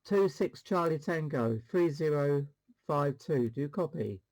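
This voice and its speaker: A man's voice: on the high side, expressive, a little slow, a little mellow, rough recording. A man hosting a casual CB radio demonstration, rambling along and repeating his phrases. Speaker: CB radio channel host.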